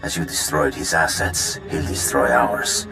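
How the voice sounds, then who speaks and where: Deep, gravelly crime syndicate boss. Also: male voice, steady tone, music in the background, heavily acted, poor audio. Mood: grave, foreboding. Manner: measured, formal. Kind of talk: video game voice acting.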